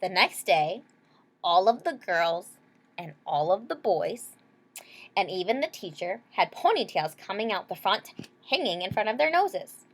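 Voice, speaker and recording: A woman's voice: medium pitch, sing-song, a little slow. A woman reading a children's picture book aloud expressively, with explanatory asides to young listeners. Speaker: storybook reader.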